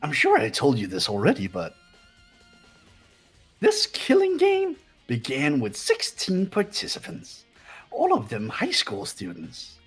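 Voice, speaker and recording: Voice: mid-range voice, huge pitch swings, a little slow, slightly rough, faint music behind, heavily acted. A performer voicing a gleeful, mocking robotic bear mascot villain in a video game fan dub, with a sing-song, taunting manner.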